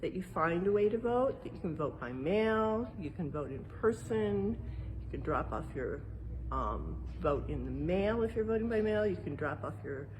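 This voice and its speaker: A woman's voice: fairly low pitch, very animated, moderate pace, darkish tone, a little hiss or hum, poor audio. A woman with an American accent reading a picture book aloud and explaining it simply for young listeners as a museum educator. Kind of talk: read-aloud with explanatory asides.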